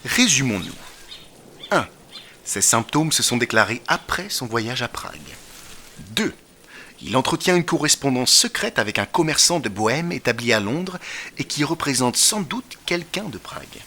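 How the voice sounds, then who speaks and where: A man playing a brilliant, excitable detective in an audio drama, incisive and theatrical as he lectures. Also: mid-range voice, measured pace, full character voice. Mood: analytical, incisive. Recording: noisy ambience behind the voice. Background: faint ambient noise.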